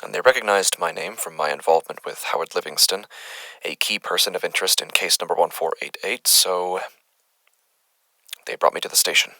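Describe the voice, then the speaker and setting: A man playing an unsettled doctor who records his findings haltingly, as a horror podcast monologue. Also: low voice, bright, forceful tone, no room sound, heavily acted, poor audio. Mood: shaken, hesitant. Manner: halting, hesitant, stammering. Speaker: lead character of a horror audio drama.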